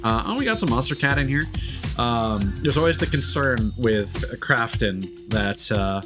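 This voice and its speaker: A man's voice: medium pitch, expressive, a little slow. A man giving casual, rambling, enthusiastic video game commentary as a livestreamer, swearing freely. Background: game music.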